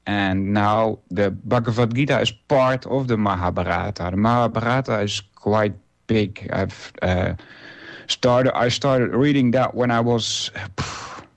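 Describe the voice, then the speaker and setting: A man's voice: fairly low pitch, measured pace. A man in his forties, a native Dutch speaker, hosting a solo internet radio show and talking it through unscripted, with many filler uhs and restarts. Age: 40s.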